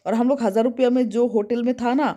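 A woman's voice: a little high, fairly even intonation, fast, warm tone. A woman travel vlogger from West Bengal chatting casually on a road trip, listing places and costs as she goes, recorded on a phone held at arm's length in a car and outdoors.

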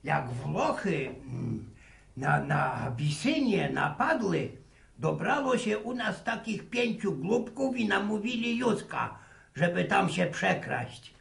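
A man's voice: sits high, very animated, slow pace, a bit bright, heavily acted. An actor playing a teasing old village grandfather in a radio drama, with a rural Polish dialect and folksy, mocking, repetitive talk. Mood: amused storytelling. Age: older. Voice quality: creaky. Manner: folksy, mocking, repeats words. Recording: roomy, distant radio-play sound.

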